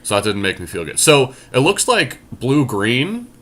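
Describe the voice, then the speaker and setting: A man's voice: mid-range voice, huge pitch swings, moderate pace, a bit bright. A man co-hosting casual gameplay commentary, thinking aloud and explaining his choices as he goes. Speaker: podcast co-host and card game player.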